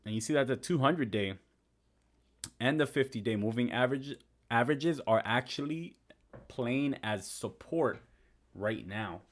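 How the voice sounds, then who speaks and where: A man's voice: mid-range voice, lively intonation, a little slow, pauses often. A young man whose first language is Spanish, hosting a casual live stream, reading viewer comments and talking through trading charts. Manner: chatty, reads and answers viewer comments.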